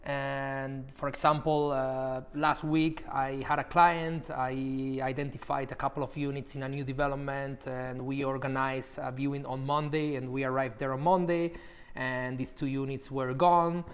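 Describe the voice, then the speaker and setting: Spanish-accented young man, pausing often. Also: medium pitch, flat delivery. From Málaga, Spain. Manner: thoughtful, with frequent "uh" pauses. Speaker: property specialist at an agency. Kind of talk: relaxed interview answers.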